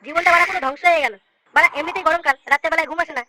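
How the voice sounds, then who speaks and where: A performer voicing a brash, foul-mouthed young village slacker in a comedy cartoon, with a squeaky pitched-up voice and crude, bickering delivery. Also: racing, pushed and bright, slight background noise. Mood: grumbling. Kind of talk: comic cartoon dialogue with slangy swearing.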